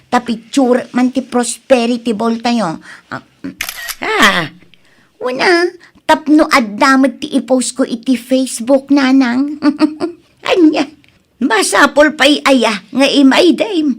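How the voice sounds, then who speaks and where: An actress with an Ilocano Filipino accent playing an excitable, showy woman in a theatrical radio comedy drama. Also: sits high, takes it slowly, heavily acted. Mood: excited.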